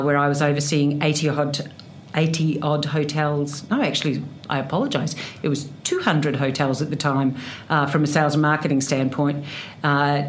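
Female hotel executive in her fifties, New Zealand accent, low pitch, hesitant fillers, interview guest. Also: a little hiss or hum. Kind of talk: interview answers.

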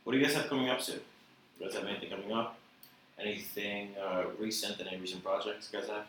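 A man's voice: fairly low pitch, fast. A man hosting a casual interview, loose and affirming, asking long rambling questions. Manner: relaxed, loose, affirming with "gotcha" and "mm-hmm". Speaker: interviewer host. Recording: echoey room, distant mic, light noise.

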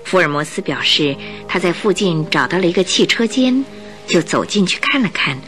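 A woman narrating a radio drama in Chinese, in an expressive, scripted storytelling style. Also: on the low side, moderate pace, faint music behind.

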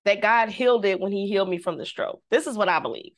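A woman, a singer, telling a personal story in casual conversation, lively and devout, acting out the voices she quotes. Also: medium pitch, quick pace. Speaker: guest.

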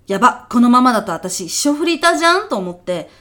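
A woman's voice: fairly high pitch, very animated, quick pace, a bit bright. A woman playing a brash, chatty former gal in her early thirties who sells real estate, talking in casual slang in a comedy radio drama. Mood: chatty, friendly. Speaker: actor in an audio comedy sketch.

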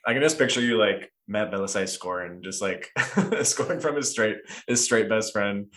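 A man with a fairly low voice hosts a casual podcast chat. His way of talking is playful and reactive, like someone bantering with a guest. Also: normal speed, a bit bright. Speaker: podcast host.

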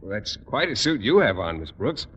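An actor playing a shy, polite bachelor guest in 1950s radio comedy, mild and hesitant, on an old recording. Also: male voice, fairly low pitch, very animated, normal speed, a bit bright, slight background noise. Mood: bashful.

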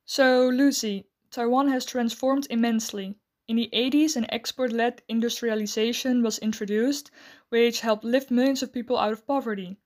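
A woman host giving a scripted, measured explanation and then asking interview questions. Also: fairly high pitch, steady tone, darkish tone.

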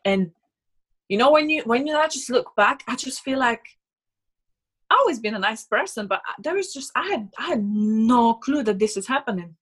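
A woman's voice: on the high side, lively intonation, normal speed, breathy edge. A young woman from Slovakia speaking English with a Slovak accent, chatting casually in an earnest, emphatic way and often repeating words. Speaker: guest, business owner. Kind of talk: casual personal conversation.

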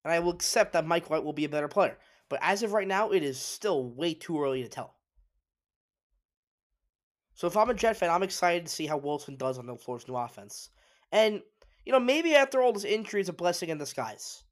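Man, congested, sniffly, fairly high voice, opinionated solo sports talk. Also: expressive, brisk. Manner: opinionated, emphatic, restarts often. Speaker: sports podcast host.

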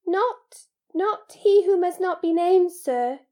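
A woman voicing a small, squeaky, breathy male house-elf in an audiobook, servile, fearful and devoted, pleading in the third person. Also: expressive, slow, a little mellow, heavily acted.

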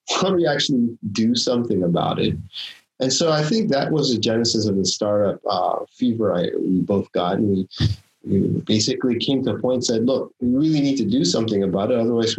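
A man's voice: mid-range voice, poor audio. Reflective man in his fifties. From Philippines. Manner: reflective, thinks aloud while telling his story. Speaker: guest, startup founder. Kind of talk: casual interview storytelling.

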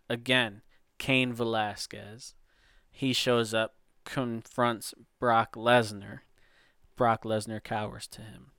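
A man hosting a podcast, introducing topics and steering the chat at a slow, unhurried pace. His voice is smooth and clear, and the sound is dry and close. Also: mid-range voice, a bit bright, no room sound. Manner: leads the talk, sets up topics, unhurried. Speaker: podcast host. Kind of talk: casual sports chat.

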